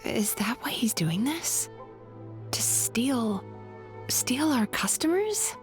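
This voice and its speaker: A woman playing a calm, thoughtful young therapist with a measured, earnest manner in a video game. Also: fairly high pitch, faint music behind. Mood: concerned. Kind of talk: video game dialogue acting.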